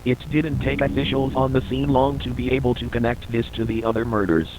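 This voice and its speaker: A man's voice: medium pitch, steady tone, lo-fi sound. Man narrating a grim report over noise. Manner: formal, measured report delivery. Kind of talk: scripted documentary-style narration for analog horror.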